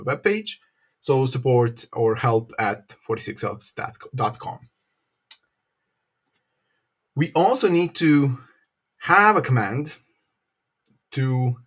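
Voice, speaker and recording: A man presenting an informal live tech tutorial, explaining step by step in a halting way with frequent pauses. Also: mid-range voice. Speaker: presenter, company developer advocate.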